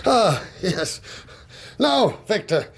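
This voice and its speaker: An elderly father in a radio play. His voice is husky and creaky, rather high, and hesitant as he tries to appease. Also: sing-song, very slow, heavily acted. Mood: anxious, placating. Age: older. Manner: hesitant, anxious, placating. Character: cowed, placating elderly English gentleman, a father. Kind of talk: radio drama acting.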